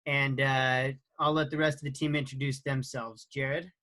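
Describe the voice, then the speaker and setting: Bright-voiced man in his forties. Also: mid-range voice, level tone. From Idaho, USA. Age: 40s. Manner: halting, many fillers and restarts. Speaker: team captain. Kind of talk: casual team introduction.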